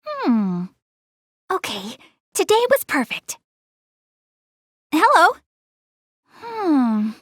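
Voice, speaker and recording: A woman's voice: high-pitched, wildly swooping pitch, extremely slow, pauses often, dry recording, clean studio sound, heavily acted. A woman voicing a young anime goddess girl in a video game, proud, chatty and earnest, easily flustered. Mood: pleased, friendly. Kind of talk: English anime video game character dialogue.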